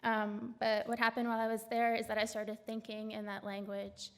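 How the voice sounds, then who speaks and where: A woman from Canada reading her poems on a stage, halting and full of fillers between poems and measured within them. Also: fairly high pitch, monotone. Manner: hesitant, filler-laden asides between measured poem readings. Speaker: poet reading her work. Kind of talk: poetry reading with spoken introductions. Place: large auditorium stage. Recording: long hall reverb.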